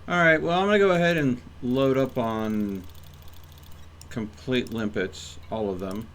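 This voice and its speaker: A man's voice: mid-range voice, measured pace, fairly bright tone. A man livestreaming a video game in casual chat, rambling and thinking aloud as he plays. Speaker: live streamer playing a video game.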